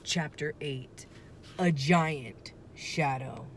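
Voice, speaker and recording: Slow, lowish-voiced woman reading a children's story. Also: a little hiss or hum. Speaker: teacher reading a book aloud. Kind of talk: expressive children's story read-aloud.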